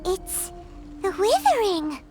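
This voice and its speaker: Tiny squeaky game fairy, super high n bouncy, swoops everywhere, loud music behind. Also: female voice, huge pitch swings, takes it slowly, exaggerated voice. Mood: puzzled. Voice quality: squeaky, childlike. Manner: refers to self in third person. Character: tiny childlike female fairy companion, bubbly, naive, outspoken. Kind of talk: animated video game voice acting.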